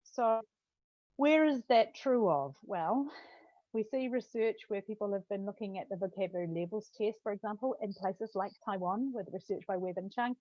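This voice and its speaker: A woman's voice: mid-range voice, moderate pace, no echo. A woman giving an academic talk in a chatty way, often adding "right?" and restarting her phrases. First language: not Hungarian; Hungarian is her second language. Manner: conversational, tag questions, frequent restarts. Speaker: lecturer. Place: home study with bookshelf. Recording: dull, dry lo-fi sound.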